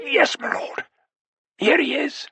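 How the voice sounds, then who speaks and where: A man voicing a frightened, injured male manservant who speaks on the phone in a strained, muted, breathy voice. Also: very high-pitched, big pitch swings, slow, sharp tone, no room sound, voice pushed up, full character voice.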